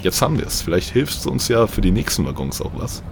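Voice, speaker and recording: A man with a low, creaky voice co-hosts a playful improvised story. He talks in relaxed, conversational banter. There is slight noise in the background of the recording. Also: fast talker. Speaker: podcast co-host.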